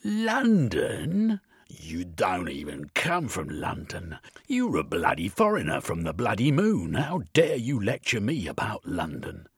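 A man's voice: fairly low pitch, wildly swooping pitch, unhurried, husky, no echo, studio quality, heavily acted. A man playing a sneering, blustering police inspector. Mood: contemptuous. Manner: sneering, mocking, shouting.

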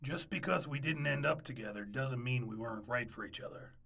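An actor playing a scruffy, easygoing ex-boyfriend in a comedic audio drama, casual and teasing. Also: male voice, mid-range voice, brisk, creaky, a bit bright, husky. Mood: teasing, coaxing.

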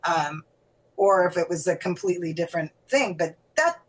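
A woman's voice: on the low side, expressive, moderate pace, slightly rough, rough recording. A middle-aged woman and singer talking in a rambling, casual way, laughing often and using many fillers. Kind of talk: casual conversation.

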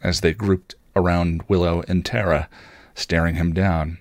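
A man reading fan fiction aloud as expressive story narration. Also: sits low, unhurried, dry recording, clean studio sound.

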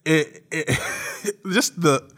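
A man's voice: on the high side, drawn-out words, fairly bright tone. A man hosting a podcast in casual chat, enthusiastic and often repeating himself. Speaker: podcast host.

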